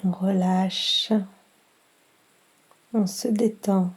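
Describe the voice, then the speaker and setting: A woman guiding a yoga relaxation session as instructor, speaking in a soft, breathy voice with calm, soothing instructions. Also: medium pitch. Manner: slow, calm, soothing instructions with long pauses. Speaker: yoga nidra instructor and guide. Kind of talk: guided relaxation meditation.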